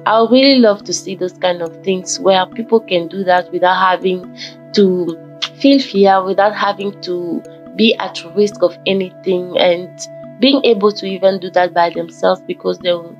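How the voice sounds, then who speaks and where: A woman from Ghana talking casually in a motivational vlog, with a croaky just-woken voice and a rambling manner that repeats and corrects itself. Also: on the low side, steady tone, normal speed. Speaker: vlogger. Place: forest fitness trail outdoors. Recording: dull lo-fi audio with faint background music.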